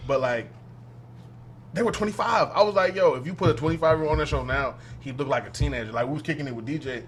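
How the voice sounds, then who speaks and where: A man's voice: on the high side, fast talker, a little hiss or hum. A man in his twenties chatting on a podcast, telling stories with lots of laughter. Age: 20s. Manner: animated storytelling, laughs often. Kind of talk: casual podcast chat.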